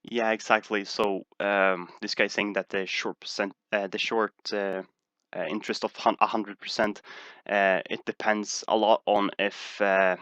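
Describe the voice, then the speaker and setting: Low bright-toned man with a Swedish accent. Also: flat delivery, measured pace, smooth, no echo, muffled recording. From Sweden. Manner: hesitant, many "uh" fillers and restarts. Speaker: stock market streamer. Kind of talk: casual livestream Q&A.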